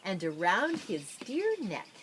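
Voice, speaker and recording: A woman reading a children's picture book aloud expressively, with drawn-out dramatic phrasing and playful asides. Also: medium pitch, huge pitch swings, a bit bright, slight background noise, rough recording. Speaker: storybook reader.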